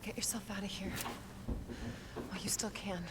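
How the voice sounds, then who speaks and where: A woman's voice: mid-range voice, fairly even intonation, moderate pace, very noisy, lightly acted. An actress playing a wounded, guarded female agent in a video game cutscene, wry and determined. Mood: pained, strained.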